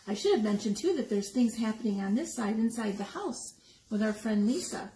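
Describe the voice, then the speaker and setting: A woman reading a picture book aloud to young children, expressively, pausing to explain the pictures and ask questions. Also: a little high, expressive, warm tone. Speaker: story time reader. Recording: echoey room with background noise.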